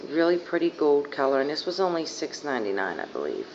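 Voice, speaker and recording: A young woman with a hoarse, fading voice rambling casually through a makeup haul, full of fillers, filmed on a phone on her living room couch. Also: deep voice, sing-song, fast, a little mellow. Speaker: beauty vlogger. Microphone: phone camera at arm's length. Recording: dull lo-fi phone audio, faint hiss.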